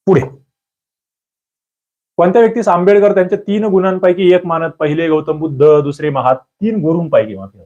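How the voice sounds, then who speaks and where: A man's voice: sits high, a little fast, mellow, highs cut off. A man teaching an exam-prep history lesson, reading out quiz questions and answers and coaxing his students, repeating the key answers.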